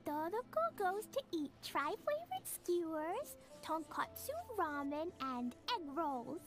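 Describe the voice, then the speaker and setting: Little girl, anime game character, sweet, childlike, very high voice, animated delivery. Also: slow, soft background music, heavily acted. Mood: cheerful, earnest. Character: cheerful, innocent little girl who loves mischief.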